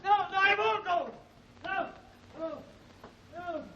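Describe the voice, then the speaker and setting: A man's voice: very high-pitched, slow. An actor playing a terrified captive man in a 1940s film serial, screaming and pleading in panic. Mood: terror. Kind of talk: 1940s movie serial acting. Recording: echoey, noisy, muffled old film, distant.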